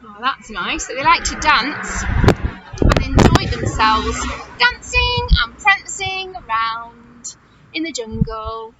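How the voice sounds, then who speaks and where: A high-pitched woman leads storytime for little children in the manner of a nursery teacher. Her delivery is slow and swoops widely, with exaggerated emphasis and direct questions to her young listeners. The recording is a slightly muffled home video with faint noise. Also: big pitch swings, loud music behind the voice.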